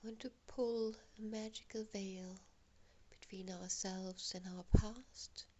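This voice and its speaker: A woman leads a guided relaxation in a soft, airy voice that often sits close to a whisper. Her pace is very slow, with long pauses between gentle instructions. The pitch stays in an ordinary middle female range. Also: steady tone. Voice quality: soft, breathy, half-whispered.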